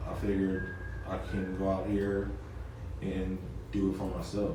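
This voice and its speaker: A young man with a low voice talks casually as a guest, stopping often with fillers and restarting his sentences. He is recorded from a distance in a very echoey room with loud crowd noise behind him. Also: moderate pace. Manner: relaxed, hesitant, many fillers and restarts. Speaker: interview guest, media producer. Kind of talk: casual interview. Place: noisy event mixer, echoey room.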